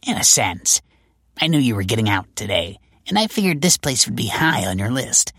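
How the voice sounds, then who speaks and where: A man's voice: medium pitch, sing-song, normal speed, fairly bright tone, no room sound, pitched above the performer's own voice. A male narrator voicing a wry, world-weary female detective in an audiobook, with a dry, sardonic manner. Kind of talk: audiobook character voice.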